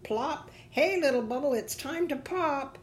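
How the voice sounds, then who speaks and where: Woman as a shrill, taunting storybook creature. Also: expressive, measured pace, a little hiss or hum. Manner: sing-song taunting chant. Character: teasing chorus of leaves and a cawing crow.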